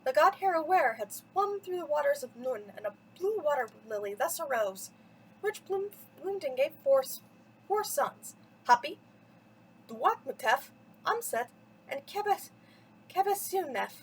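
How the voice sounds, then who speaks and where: A woman's voice: sits high, big pitch swings, a little slow, a little hiss or hum, rough recording. A woman reading a mythology story aloud for children as a storyteller, with dramatic, theatrical emphasis. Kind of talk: expressive bedtime story read-aloud.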